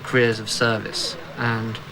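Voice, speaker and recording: A man's voice: on the low side, little pitch movement, unhurried. A young man, a new army officer, giving formal, hesitant interview answers while searching for words. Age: young adult. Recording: noisy field recording.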